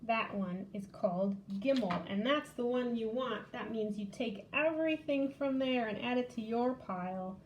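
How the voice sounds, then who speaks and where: Higher-pitched woman teaching kids, faint noise. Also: moderate pace, few pauses, lo-fi sound. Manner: simple step-by-step explaining to children. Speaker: children's library storytime host. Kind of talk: casual craft and game demonstration for children.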